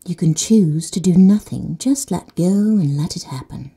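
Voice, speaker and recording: Breathy, mellow woman guiding sleep. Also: on the low side, expressive. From Netherlands. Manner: slow and soothing, dropping to soft whispers. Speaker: meditation guide. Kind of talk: guided sleep meditation.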